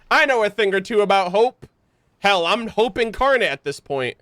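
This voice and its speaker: A woman's voice: medium pitch, sing-song, a little slow, a bit bright, no room sound. A young woman giving casual, exclamatory and slangy live commentary as a game streamer on a close mic. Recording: game music underneath.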